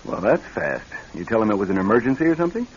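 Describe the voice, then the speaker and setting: A haunted, edgy husband in a radio play, low in pitch but very animated. He sounds troubled and strained, moving between subdued and snappish, on a muffled recording. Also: racing, husky. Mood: edgy, defensive. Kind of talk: radio drama acting.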